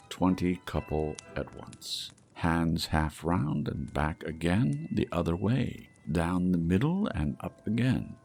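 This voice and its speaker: Brief: male audiobook narrator, unhurried and lively in pitch, gravelly texture. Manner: measured, expressive storytelling. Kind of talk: storybook reading.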